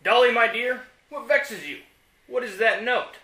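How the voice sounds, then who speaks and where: A man's voice: very high-pitched, a little slow, echoey room, over loud music, low-quality recording. A man playing an earnest, dashing cowboy hero in a comedy western radio play, gallant in manner.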